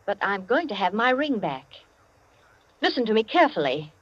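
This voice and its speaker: An actress playing an imperious, determined society hostess in a 1940s radio drama, commanding and measured in manner. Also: female voice, medium pitch, big pitch swings. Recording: muffled vintage 1940s radio recording.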